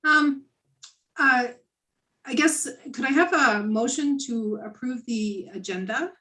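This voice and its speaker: A woman chairing a committee meeting over a video call, friendly and halting, with many fillers and restarts. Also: sits high, pushed and bright. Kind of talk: casual meeting chat.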